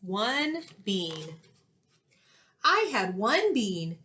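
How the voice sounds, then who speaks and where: A woman in her thirties, an elementary teacher reading a storybook aloud and giving instructions in a clear, expressive way for young children. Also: mid-range voice, very slow. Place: small indoor classroom-like room.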